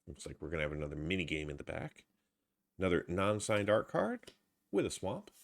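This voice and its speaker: A man with a stuffy head cold casually commenting as he opens trading card packs, reading out card names with low energy. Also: huge pitch swings, a little fast, creaky. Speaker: card box opener.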